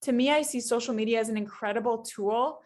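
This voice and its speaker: A woman's voice: fairly high pitch, fairly quick, darkish tone. A woman guest on a podcast, speaking enthusiastically and affirming the host. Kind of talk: casual podcast conversation.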